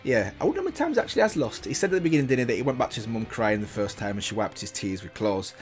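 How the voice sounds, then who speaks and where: A man's voice: mid-range voice, sing-song, rapid-fire, soft background music. A man hosting a reaction video, thinking aloud in casual commentary with frequent restarts and self-corrections.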